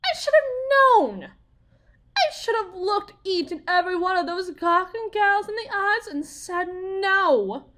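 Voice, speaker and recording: A woman's voice: very high-pitched, expressive, a little slow, pushed and bright, exaggerated voice. A woman playing a bitter, desperate young Southern US wife, confiding in a folksy, theatrical way in an audio roleplay monologue. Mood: anguished.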